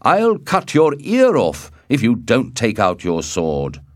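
A man voicing a brash, provocative young swordsman in a storybook, loud and challenging. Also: mid-range voice, huge pitch swings, slow pace, no room sound, clean studio sound, pitched above the performer's own voice. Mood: defiant, goading.

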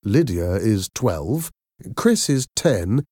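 A man's voice: fairly low pitch, very animated, slow pace, a little mellow, breathy edge, dry recording, clean studio sound, full character voice. A man voicing an anxious, easily exasperated mother with an earnest manner in a story reading. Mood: nervous.

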